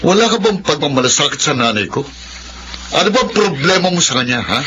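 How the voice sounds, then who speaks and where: Reproachful husband, fairly high male voice, clear hiss, dull lo-fi radio drama. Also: sing-song, brisk. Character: husband defensive of his mother.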